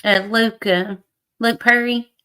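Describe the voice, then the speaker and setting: A woman with a bright, forceful voice co-hosts an online stream. She chats casually and plainly, often repeating herself. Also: on the high side, steady tone, takes it slowly, clear, resonant tone. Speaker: livestream co-host. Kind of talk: casual chat.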